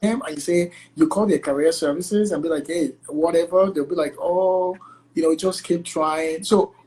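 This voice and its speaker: Young Nigerian-accented man, animated and talkative guest on a video call. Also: on the high side, moderate pace, poor audio. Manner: animated, chatty, many fillers like "like" and "you know". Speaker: guest, entertainment lawyer. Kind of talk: casual interview conversation.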